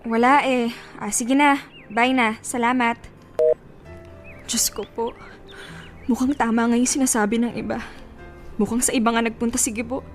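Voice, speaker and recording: A woman's voice: on the high side, steady tone, normal speed, long pauses, faint music behind, close to an everyday voice. An actress playing a hot-tempered, betrayed young pregnant woman in a Filipino radio drama, with a Filipino accent and emotional, accusing delivery. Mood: anxious, suspicious.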